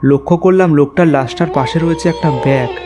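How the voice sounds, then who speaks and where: A man playing a courteous, uneasy journalist who narrates his own horror story in an animated tale. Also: fairly high pitch, fast, lightly acted. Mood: uneasy suspense. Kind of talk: first-person horror story narration and dialogue. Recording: faint music behind the voice.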